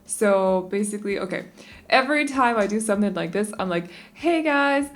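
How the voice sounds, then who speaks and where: A woman's voice: mid-range voice, animated, normal speed. A young Danish woman chatting casually and rambling a little as she talks over a game speed build, often restarting her sentences. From Denmark. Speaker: YouTube creator.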